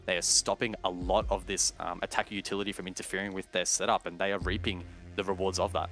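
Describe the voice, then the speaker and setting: A man's voice: low voice, flat delivery, quick pace, fairly bright tone, soft background music. A man giving live analytical color commentary on an esports match, breaking down plays with occasional fillers. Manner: analytical, thinks aloud with fillers.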